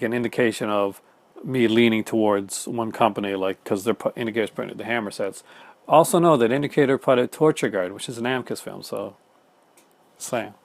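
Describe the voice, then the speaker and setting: A Canadian man talking fast and casually to the camera at home, with many fillers and restarts. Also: mid-range voice, no echo. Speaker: film collector YouTuber. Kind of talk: casual chat reading viewer comments. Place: home room in front of film shelves.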